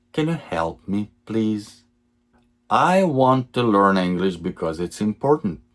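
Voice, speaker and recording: A man teaching English, reading simple example sentences slowly and repeating each one for learners. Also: on the low side. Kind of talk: slow lesson reading example sentences twice.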